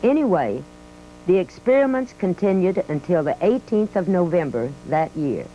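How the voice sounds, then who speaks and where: A woman's voice: lowish voice, big pitch swings, normal speed, warm tone. A woman voicing an old retired army biplane who tells its story in a folksy, reminiscing way, with an American accent, in scripted museum narration. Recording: dull lo-fi audio with faint music.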